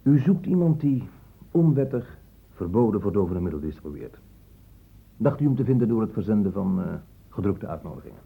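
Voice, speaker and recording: A blunt police inspector in a radio play, sarcastic and brusque. The voice sits fairly low with a husky grain, heard through a thin telephone-like band. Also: male voice, sing-song, a little fast, heavily acted. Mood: sardonic, impatient. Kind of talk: Dutch radio drama acting. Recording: muffled phone-like old radio recording.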